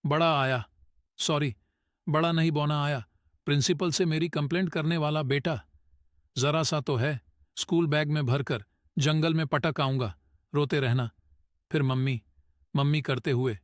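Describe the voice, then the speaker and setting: A man's voice: on the high side, fairly even intonation, a little slow, long pauses, no room sound, clean studio sound, close to an everyday voice. A man voicing a young college bully in a story, taunting and sneering with cocky menace. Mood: threatening, sneering. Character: mocking, threatening college bully, young male. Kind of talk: scripted audio story acting.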